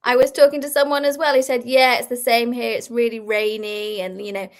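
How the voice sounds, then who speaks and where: Guest, woman in her thirties from Belgium, higher-pitched voice, easy chatty delivery with frequent laughter. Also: little pitch movement, a little fast. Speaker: guest crochet designer. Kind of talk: casual interview chat.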